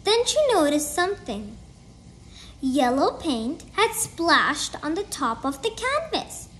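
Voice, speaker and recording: A woman's voice: high voice, very animated, slow pace, a bit bright, a little hiss or hum. A woman reading a children's storybook aloud in a careful, word-by-word way. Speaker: storybook reader. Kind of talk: children's book read-aloud.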